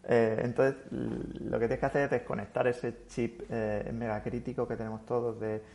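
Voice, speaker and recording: A man speaking as a guest in a relaxed interview, reflective and rambling, with frequent restarts. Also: medium pitch, normal speed, gravelly. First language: Spanish. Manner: thoughtful, rambling with many restarts. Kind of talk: casual interview chat.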